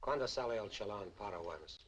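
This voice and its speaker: Husky, low-voiced American captive. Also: male voice, expressive, quick pace, a bit bright, lo-fi sound. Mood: guarded. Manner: dry, terse. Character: weary, defiant male American captive of a gunman. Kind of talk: 1950s film noir acting.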